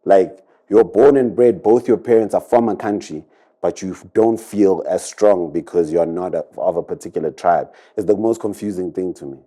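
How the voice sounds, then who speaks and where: A man's voice: sits low, moderate pace, mellow. A man, a recording artist, reflecting in a rambling casual chat full of fillers. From South Africa.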